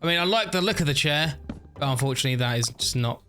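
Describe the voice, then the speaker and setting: Stuffy-nosed gamer rambling along. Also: male voice, fairly high pitch, animated, fast, a bit bright, faint music behind. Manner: rambling, chatty, laughs at himself. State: stuffy, slightly nasal; overtired. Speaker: gaming YouTuber. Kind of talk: casual let's-play commentary.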